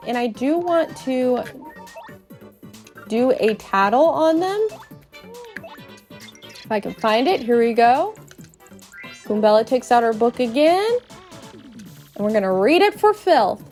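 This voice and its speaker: High, animated woman narrating a game. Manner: playful, expressive, cutesy exclamations. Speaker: podcast host and video game player. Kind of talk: playful narrated let's play, storytelling. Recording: music behind the voice.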